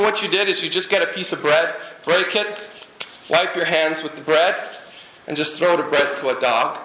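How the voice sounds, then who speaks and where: A man from Guyana preaching a sermon in a lively, humorous way, with jokes and asides to the congregation. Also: high voice, echoey room, rough recording. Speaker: pastor.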